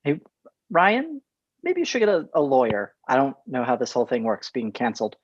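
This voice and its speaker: An actor at a comedy table read playing a passive-aggressive office boss, hesitant, mild and faintly condescending. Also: male voice, mid-range voice, wildly swooping pitch, moderate pace, husky, no room sound. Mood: hesitant, placating. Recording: dull lo-fi dry microphone.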